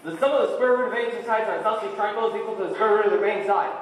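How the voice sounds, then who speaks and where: A performer playing an earnest, excitable scarecrow, exclaiming and declaiming in a school stage play. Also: mid-range voice, very fast, a little hiss or hum, close to an everyday voice. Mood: overjoyed. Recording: very echoey hall from far off.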